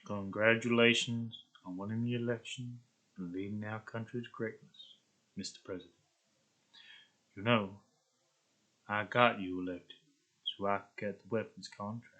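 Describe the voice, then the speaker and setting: A man voicing a threatening, shadowy arms dealer in a slow, deliberate monotone. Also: fairly low pitch, poor audio. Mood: cold menace.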